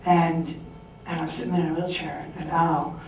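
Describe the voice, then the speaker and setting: A man's voice: fairly high pitch, little pitch movement, moderate pace, slight background noise. A young man on stage at a fundraising event, telling a candid personal story and acting out the voices of people he quotes. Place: event hall with audience. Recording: echoey hall recorded from far off.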